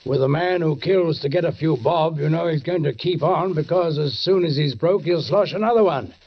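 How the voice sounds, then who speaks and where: An actor playing a suspicious, deliberate police sergeant who narrates a murder case in a 1940s radio drama, with measured, pointed pauses. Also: male voice, fairly high pitch. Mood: brusque, wry. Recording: dull 1940s radio recording.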